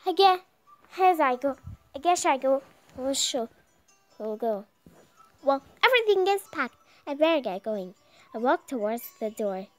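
A young woman reading a visual novel aloud, halting and stumbling over words as she narrates dramatically. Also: high voice, very animated. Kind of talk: dramatic read-aloud of game text. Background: music.

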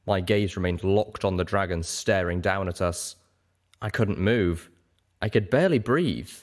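A man reading scripted story narration in a theatrical, expressive way. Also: deep voice, measured pace. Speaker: audiobook narrator. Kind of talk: scripted, dramatic fan fiction narration.

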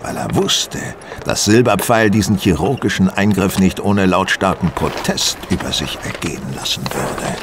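A man playing a shrewd, self-assured detective who explains things deliberately in a German audio drama. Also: low-pitched, background noise. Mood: explanatory, dramatic.

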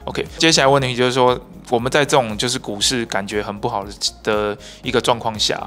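A man's voice: mid-range voice, steady tone, fairly quick, a bit bright, soft background music. A man in his thirties with a Taiwanese Mandarin accent, hosting an interview and explaining the guest's points to viewers. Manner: fluent, explanatory, with sentence-final particles. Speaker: host. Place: bright office room. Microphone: handheld mic.

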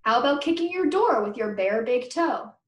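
Reluctant storybook fourth-grade boy, voiced by a woman: American, wry, argumentative, higher pitch, roomy recording. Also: lively intonation, moderate pace, far from the mic. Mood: wry, bargaining. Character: reluctant, sarcastic fourth-grade boy narrator arguing with his pal. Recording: roomy, echoing webcam recording.

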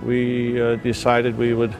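Gravelly older man, halting speech. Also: mid-range voice, monotone, a little mellow, soft background music. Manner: hesitant, halting with fillers. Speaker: sea farm owner. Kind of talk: documentary interview. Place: outdoors on a deck by the sea. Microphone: lapel.

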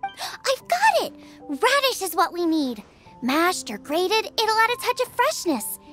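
Excitable, very high-pitched young girl chef. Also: exaggerated voice. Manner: exclamatory, eager. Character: excitable teenage girl chef, cheerful and bossy. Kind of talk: video game voice acting.

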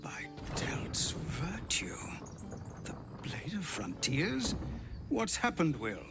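An actor playing a weary, formal leader of refugees who thanks the hero. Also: male voice, fairly high pitch, huge pitch swings, takes it slowly, vocal fry, loud music behind the voice, full character voice. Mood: grateful. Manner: earnest, formal, grateful.

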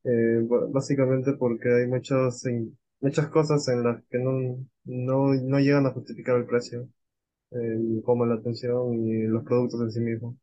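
A young man giving a halting opinion on a video call. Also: mid-range voice, little pitch movement, dark tone. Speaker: focus group participant. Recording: slightly dull video-call audio.

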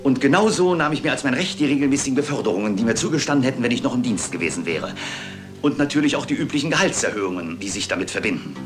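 An actor playing a proud, embittered retired naval commander, a formal, self-important villain in a German radio play. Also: male voice, medium pitch, expressive, racing, over music, close to an everyday voice. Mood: bitter, indignant. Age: older.

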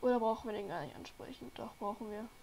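A woman's voice: fairly high pitch, quick pace, warm tone, a little hiss or hum. A young woman with a cold hosting her gaming channel, chatting casually and rambling to viewers as she plays. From Germany. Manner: chatty, rambling, talks to viewers while playing. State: has a cold. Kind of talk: casual gameplay commentary. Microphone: desk microphone.

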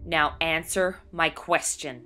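A woman's voice: low voice, slow, sharp tone, full character voice. An actress playing a steely, confrontational mother and reporter in an amateur audio drama. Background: clear background music.

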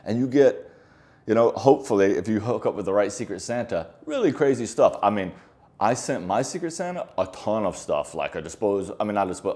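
Low-voiced man chatting casually to camera. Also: darkish tone. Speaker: film photography vlogger. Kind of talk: casual talk to camera, reviewing. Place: indoor living-room style office, seated on a sofa.

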